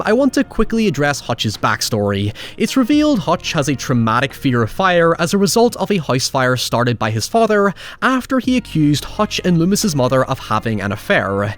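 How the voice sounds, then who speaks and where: A man's voice: on the high side, wildly swooping pitch, normal speed, few pauses. A man narrating a scripted, opinionated video essay as its host, with wry, sardonic asides and casual swearing. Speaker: host of a film retrospective show.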